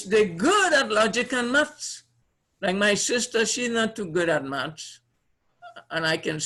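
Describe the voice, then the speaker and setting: A man's voice: high voice, pushed and bright. A man from Trinidad with a Trinidadian accent, presenting an informal seminar in a conversational way, repeating phrases and putting questions to his listeners. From Trinidad, Caribbean. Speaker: presenter, trainer. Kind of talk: informal seminar teaching to a live audience.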